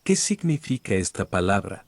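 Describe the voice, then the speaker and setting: A man with a somewhat low voice reads Spanish phrases for learners. Each phrase is delivered carefully so it can be repeated, and the recording is dry and close. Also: moderate pace, creaky, no echo. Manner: careful, even delivery for repetition. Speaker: Spanish phrase reader in a lesson. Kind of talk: scripted phrase reading for learners.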